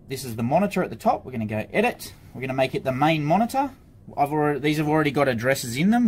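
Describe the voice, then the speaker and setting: A man giving a casual hands-on product tutorial, informally talking through each step as he works at an office desk. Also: mid-range voice. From Australia. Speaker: product demonstrator for an intercom supplier. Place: indoor office desk area. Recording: clear background noise, room ambience.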